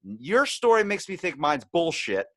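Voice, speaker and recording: A man's voice: fairly high pitch, wildly swooping pitch, unhurried, fairly bright tone, no echo, clean studio sound. A man hosting a podcast, chatting casually in a rambling, candid way and swearing freely as he tells personal stories. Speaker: podcast host.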